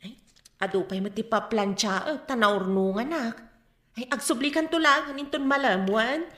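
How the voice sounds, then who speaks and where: Neighborly Filipino godmother, a woman acting, radio comedy; conversational, slightly high, roomy sound. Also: normal speed, a bit bright, natural voice. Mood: casual. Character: neighborly godmother putting off a borrower.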